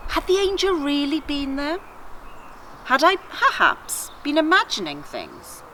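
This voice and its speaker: Woman voicing a sardonic, animated talking donkey. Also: sits high, unhurried, a little hiss or hum, voice pushed up, heavily acted. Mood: wry, aggrieved. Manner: wry, chatty, expressive storytelling. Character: sardonic, aggrieved talking female donkey telling her tale. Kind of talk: children's story read aloud.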